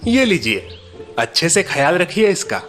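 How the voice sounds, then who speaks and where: A man's voice: sits high, sing-song, moderate pace, music in the background. A man voicing a shrewd, miserly Marwari bargainer in a cartoon story, hesitant and feigning sentimental reluctance. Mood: agreeable.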